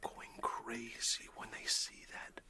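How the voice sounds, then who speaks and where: A man playing a warm, excited father who talks affectionately and in a folksy way to his young son in a breathy whispered ASMR roleplay. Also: lightly acted. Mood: excited, admiring. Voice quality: breathy whisper with gravelly creak. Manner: unhurried, folksy, affectionate, with long pauses.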